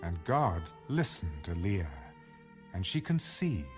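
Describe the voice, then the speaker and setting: A man narrating scripture from a script in a measured, solemn storytelling manner, as in a dramatized audio Bible. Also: low voice, sing-song, full character voice. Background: music.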